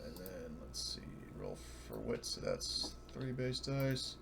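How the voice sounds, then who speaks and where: A man's voice: low-pitched, huge pitch swings, slow, vocal fry. A man chatting casually as a player in a tabletop game. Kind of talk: casual chat. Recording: noticeable background noise, typing sounds.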